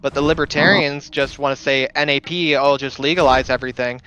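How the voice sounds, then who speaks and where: A young man in a heated live debate, insistent and quick to contradict the others. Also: medium pitch, fairly even intonation, muffled recording, crowd noise. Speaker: debate guest.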